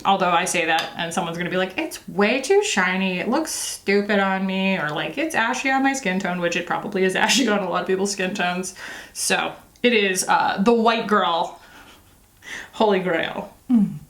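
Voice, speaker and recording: A woman in her thirties talks through a makeup review in a loose, rambling way, full of fillers and self-deprecating jokes. Her voice sits at an ordinary middle pitch. The room around her is roomy and echoey. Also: animated, moderate pace, a bit bright. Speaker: beauty vlogger. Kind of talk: casual chatty makeup review.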